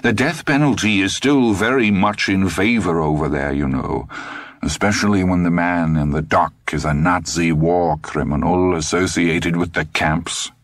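A man voicing a sinister old man in his seventies who speaks slowly, measured and coldly patient. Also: low-pitched, no echo, studio quality. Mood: menacing. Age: 70s.

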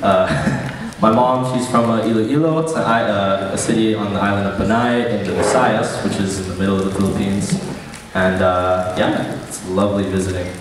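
A young man hosts a student cultural night from a stage, loose and chatty with plenty of fillers. His voice sits fairly low. The recording is distant and washed in long hall reverb, with a faint hum behind it. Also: normal speed. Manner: relaxed, chatty, many fillers. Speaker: emcee of a student cultural show. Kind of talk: casual stage hosting. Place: large event hall on a stage. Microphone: handheld mic heard through hall speakers. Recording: echoey hall from far off.

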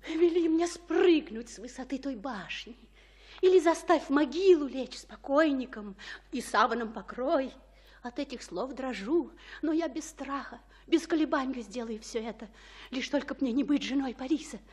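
A woman's voice: high-pitched, lively intonation, normal speed, darkish tone, breathy edge. An actress playing a desperate, passionate young noble girl in love, with fervent dramatic delivery in a radio tragedy. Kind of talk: Russian radio play acting of classical tragedy.

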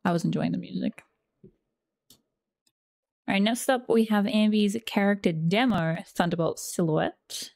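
A woman's voice: medium pitch, measured pace, creaky, pauses often, a little mellow. A young adult woman giving casual reaction commentary as the host of a video, recorded indoors. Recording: music behind the voice. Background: game trailer music.

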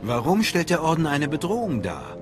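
An actor playing a haughty, guarded Sith sorcerer in a game, speaking formally with cold self-assurance. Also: male voice, a little high, wildly swooping pitch, moderate pace, music in the background. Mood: guarded, cool. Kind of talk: dramatic game voice acting.

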